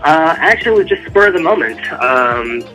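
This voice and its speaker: A man's voice: on the high side, expressive, a little fast, sharp tone, soft background music, low-quality recording. A man from Dallas, Texas, answering interview questions as an author in an informal, loosely rambling way. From Dallas, Texas, United States. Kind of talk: casual radio interview answers.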